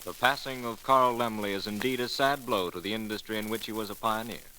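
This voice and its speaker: A man's voice: fairly low pitch, fairly even intonation, fairly quick, sharp tone, slight background noise, low-quality recording. A man formally reading scripted tribute messages on a 1930s radio broadcast.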